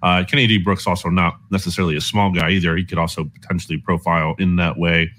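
Man, low voice, sports analyst guest, casual football breakdown, conversational. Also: little pitch movement, fast, a bit bright, a little hiss or hum.

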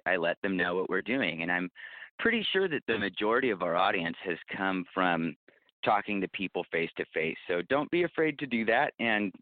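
A man speaking as an interview guest, giving casual advice in an earnest, reflective, explanatory way. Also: deep voice. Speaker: interview guest, writer and podcaster. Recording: roomy, phone-like narrow band.

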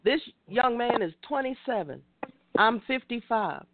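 A woman's voice: a little high, expressive, measured pace, phone-quality sound, background noise. A woman in her fifties hosting a call-in talk show, speaking in a preachy way and addressing listeners directly. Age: 50s. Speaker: radio show host.

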